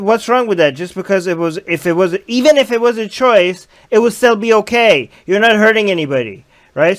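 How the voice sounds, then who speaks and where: Young male stream host, fairly high voice, headset mic, reads out chat comments and argues back casually. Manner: reads viewer comments aloud and argues points. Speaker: livestream host.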